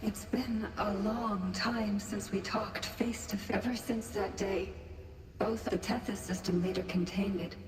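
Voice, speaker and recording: An actress playing a sorrowful lost soul in a video game, with a solemn, slow and haunting manner. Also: female voice, medium pitch, fairly even intonation, a little mellow, close to an everyday voice. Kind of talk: video game cutscene voice acting. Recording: echoey cavernous reverb, distant.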